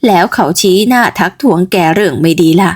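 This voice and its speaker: Middle-aged storybook mother, acted by a woman: lowered pitch, gentle, chatty, slightly fretful. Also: quick pace, slightly rough, lightly acted. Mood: worried. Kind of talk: storybook character voice.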